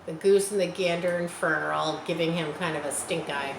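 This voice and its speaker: A woman's voice: deep voice, steady tone, a little fast, creaky. A woman reading a storybook aloud to children expressively, with explanatory asides. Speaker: storybook reader. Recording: echoey room, faint background noise.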